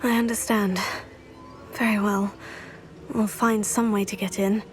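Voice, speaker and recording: A woman's voice: medium pitch, little pitch movement, unhurried, faint music behind, close to an everyday voice. An actress playing an earnest, curious young student witch, in a video game cutscene.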